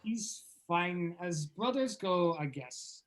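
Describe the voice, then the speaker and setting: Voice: mid-range voice, animated, takes it slowly. An actor in an informal table read playing a bitter, sardonic male musician overshadowed by a brother. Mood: sullen.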